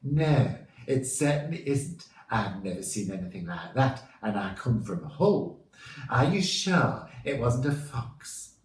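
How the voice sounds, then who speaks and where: A man's voice: mid-range voice, very animated, slow pace, roomy sound, pitched above the performer's own voice. A man playing a stocky roving news reporter with an English regional accent and an earnest, dramatic delivery in a storybook.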